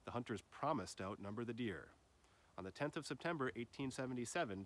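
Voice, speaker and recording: A man narrates written nature prose in a voice of ordinary middle pitch. He reads in measured phrases, and his sentences drop off at their endings. It sounds like an essay being read aloud from the page. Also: a bit bright. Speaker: reader of an essay.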